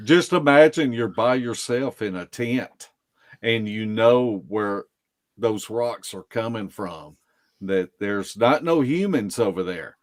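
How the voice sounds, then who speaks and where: A man telling stories casually as a podcast guest, in a folksy, unhurried way that circles back on itself. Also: on the low side, expressive. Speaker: podcast guest, field investigator. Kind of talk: casual storytelling.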